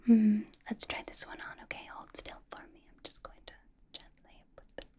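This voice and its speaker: A woman voicing a possessive, doting, commanding ancient vampire boss in an ASMR roleplay, in a breathy whisper with coaxing hums. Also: normal speed, mellow, natural voice. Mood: playful, coaxing.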